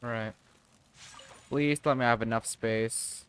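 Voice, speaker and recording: A man's voice: medium pitch, fairly even intonation, slow pace, smooth. A man chatting casually as he streams a game, thinking aloud to himself. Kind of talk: casual muttering livestream gameplay chat.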